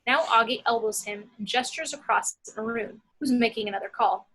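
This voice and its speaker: Female narrator for screenplay action lines, bright and forceful with some rasp. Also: a little high, a little fast, low-quality recording. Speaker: action-description reader.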